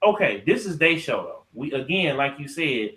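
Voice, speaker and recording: An American man co-hosting a casual podcast debate, talking in slang, often halting and repeating himself. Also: fairly high pitch, sing-song, fairly bright tone, echoey room, poor audio. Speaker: podcast co-host.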